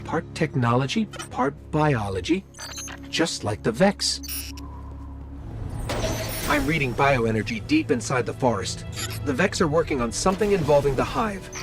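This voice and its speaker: An actor voicing an eager, curious little robot companion in a video game, earnest and conversational. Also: male voice, fairly high pitch, sing-song, unhurried, long pauses, over music. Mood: focused, intrigued. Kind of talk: video game cutscene acting.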